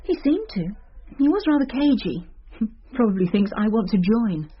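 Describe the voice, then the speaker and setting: A teasing, confiding schoolmistress in a radio play. Her voice is husky and very lively in pitch, on a slightly muffled recording. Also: normal speed. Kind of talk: radio drama acting.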